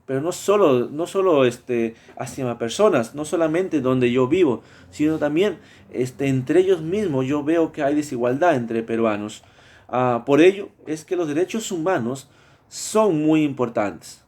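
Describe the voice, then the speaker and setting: A man's voice: on the high side, expressive, unhurried, darkish tone. A man from Mexico with a Mexican Spanish accent, presenting a class project in a hesitant way, with many fillers and restarts.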